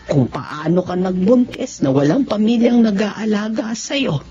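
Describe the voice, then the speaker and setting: An actress plays an older Filipino mother, tender and consoling, who draws out her words slowly and emotionally. It is radio drama in a dull, lo-fi recording with music underneath. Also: medium pitch, expressive, dark tone, lightly acted. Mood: tender, remorseful. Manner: slow, emotional, consoling delivery. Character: tender, tearful Filipino mother and new grandmother.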